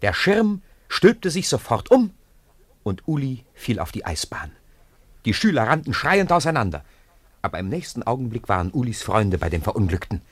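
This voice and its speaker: A man narrating a 1950s radio play in an expressive storytelling manner. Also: medium pitch, moderate pace, slightly rough, dry recording. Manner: expressive storytelling with lively intonation.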